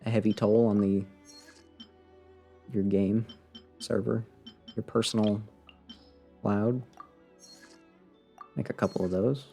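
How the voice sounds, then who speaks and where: A man's voice: on the low side, steady tone, slow pace, vocal fry, pauses often, mellow, faint music behind. A man giving casual live commentary as a game streamer, rambling and muttering to himself with playful sarcasm.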